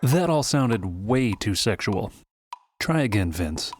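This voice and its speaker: A man's voice: mid-range voice, very animated, slow pace, slight background noise. A man playing a composed, skeptical senior executive in a comic musical audio drama, with a dry manner.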